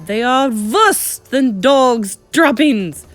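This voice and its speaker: A woman's voice: low voice, faint music behind. A woman voicing a tyrannical, venomous witch in a children's audiobook, screeching and thundering her commands. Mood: screaming disgust. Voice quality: screeching, harsh. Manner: thunderous, drawn-out, commanding, broken foreign-style grammar. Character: tyrannical, venomous Grand High Witch, female villain.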